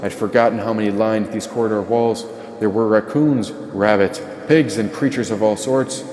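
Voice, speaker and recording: Male audiobook narrator, dark warm tone, lowish pitch, dramatic storytelling, echoey. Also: steady tone, moderate pace. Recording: echoey, long reverb tail.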